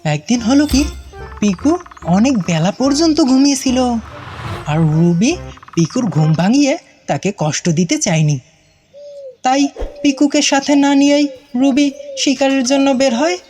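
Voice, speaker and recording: A woman narrates a children's story with dramatic suspense. Her delivery is unhurried and rises and falls widely. Music sits behind her voice. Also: high-pitched, animated, warm tone.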